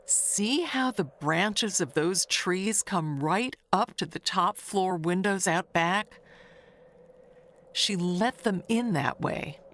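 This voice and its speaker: Actress as slow, gossipy neighbor woman, very expressive. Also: lowish voice. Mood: confiding. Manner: gossipy, confiding, halting with pauses. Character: chatty, nosy older woman neighbor gossiping with sly wistfulness. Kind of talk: dramatic monologue in an audio mystery tale.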